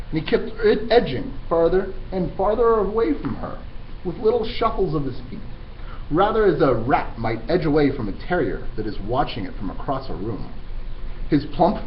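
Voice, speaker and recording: A man reading storybooks aloud as a teacher would, in an expressive narrating style. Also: a little high, dull audio, a little hiss or hum.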